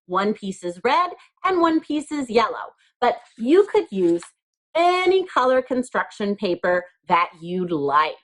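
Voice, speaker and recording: Female primary teacher, children's craft demo: unhurried, expressive pitch, patient and simple step-by-step wording.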